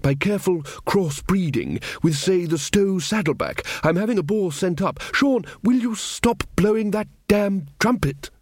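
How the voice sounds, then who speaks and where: A man's voice: sits high, big pitch swings, unhurried, hardly any pauses, no room sound, voice pushed up, heavily acted. A man voicing an earnest, pedantic young king who stammers and lectures cheerfully. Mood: earnest, fussy. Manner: pedantic, self-satisfied, stammering.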